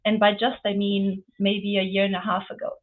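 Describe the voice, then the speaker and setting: A woman's voice: medium pitch, monotone, moderate pace. A woman from Romania in her forties, speaking earnestly as a panelist in a webinar, with many fillers. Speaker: panelist, UN office chief. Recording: phone-like narrow line.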